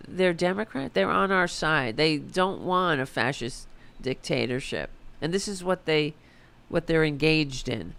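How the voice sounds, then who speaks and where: A woman with a raspy voice hosting a political commentary show, reading an article aloud and answering it with sarcastic, profane indignation. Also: on the low side.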